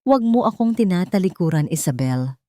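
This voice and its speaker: A woman's voice: on the low side, big pitch swings, a little fast, mellow, no room sound, studio quality. A woman storyteller voicing a threatening, mocking, jealous young man in a romance drama. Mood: menacing. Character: menacing, jealous, taunting young male villain.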